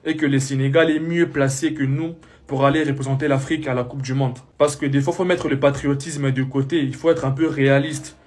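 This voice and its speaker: Man, mid-pitched, loose repetitive reaction talk with lots of fillers. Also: steady tone, fairly quick, few pauses, darkish tone. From DR Congo. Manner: repetitive, leans on fillers like "voilà" and "hein". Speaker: football reaction creator.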